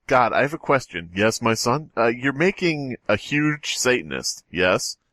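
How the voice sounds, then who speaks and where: A man's voice: mid-range voice, sing-song, a little slow, fairly bright tone, dry recording, studio quality. A man co-hosting a comedy podcast, riffing playfully and acting out little bits in casual banter. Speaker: podcast host.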